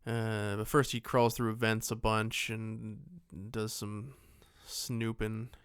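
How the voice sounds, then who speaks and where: A man's voice: fairly low pitch, level tone, slow pace, fairly bright tone, smooth, dry recording, clean studio sound. A man co-hosting a podcast, giving a casual, joking film recap in a rambling, loose-tongued way. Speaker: podcast co-host.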